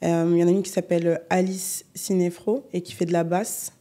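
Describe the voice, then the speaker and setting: A young adult woman singer from the Paris region, chatting in an unhurried way as a podcast guest, with frequent fillers. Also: lowish voice. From Paris region, France. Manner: relaxed, unhurried, many fillers. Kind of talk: casual interview chat.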